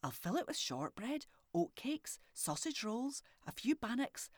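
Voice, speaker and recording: A woman with a Scottish accent voicing a young girl who pleads eagerly in a high, breathless voice. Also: very animated, unhurried, no echo, clean studio sound. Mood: pleading.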